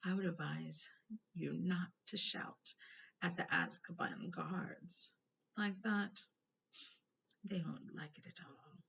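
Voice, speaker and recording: A woman voicing a cold, sneering aristocratic man in a storybook, with a smooth, lazy and condescending drawl. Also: on the low side, slow, pauses often, fairly bright tone, heavily acted. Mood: smooth, threatening. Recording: noisy, dull home recording.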